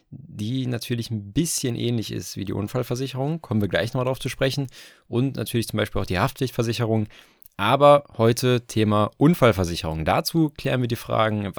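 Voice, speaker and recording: Gravelly, dry-sounding male podcast host. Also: medium pitch, dry recording. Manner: brisk, lays out definitions slowly and deliberately. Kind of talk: casual explanatory chat.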